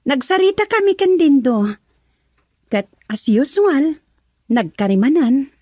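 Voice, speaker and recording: A woman's voice: high voice, animated, slow pace, mellow, no echo, clean studio sound. A woman with an Ilocano accent playing a cheerful young daughter working abroad, in a radio drama. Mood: warm. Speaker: daughter character.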